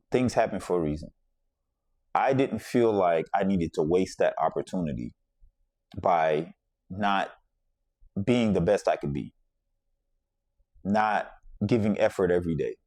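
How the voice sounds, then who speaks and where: A man's voice: sits low, measured pace, pauses often, a little mellow, dry recording. A man from the Bahamas hosting a podcast, telling a personal story in a reflective, conversational way and circling back to repeat his points. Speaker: podcast host.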